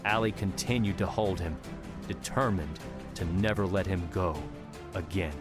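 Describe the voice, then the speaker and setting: A man narrating an audiobook in a steady, measured storytelling voice. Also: low voice. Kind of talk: scripted narration. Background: music.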